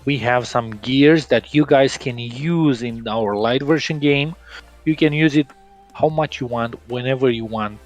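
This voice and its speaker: A man's voice: medium pitch, measured pace, muffled recording, faint music behind. A man from Romania, casually answering viewer questions on a game livestream. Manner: casual, laughs often, some fillers.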